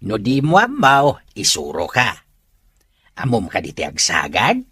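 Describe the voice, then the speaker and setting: A woman voicing a playful, homely old father from an Ilocano village who teases with a drawn-out delivery, in a comic radio drama with a Filipino accent. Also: a bass voice, wildly swooping pitch, a bit bright, slightly rough, heavily acted. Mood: joking.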